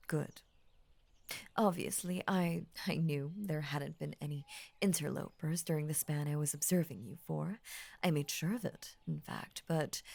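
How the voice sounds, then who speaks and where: A slow, low-pitched snake woman, played by a woman, murmurs in a close, dry intimate roleplay. She is ancient, vain and murderously devoted, with grand formal phrasing that often sinks into breathy near-whispers. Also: darkish tone, dry recording, heavily acted. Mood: possessive, probing. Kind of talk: intimate roleplay audio acting.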